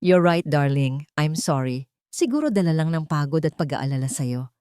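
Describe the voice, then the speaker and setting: A woman's voice: sits low, expressive, moderate pace, darkish tone, clean tone, dry recording. Haughty society fiancée, played by a woman narrator, icy, calculating and condescending, for audiobook romance drama; close dry sound. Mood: fake sweetness. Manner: firm, menacing, condescending. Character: jealous, haughty rich fiancée, cold, commanding, calculating woman.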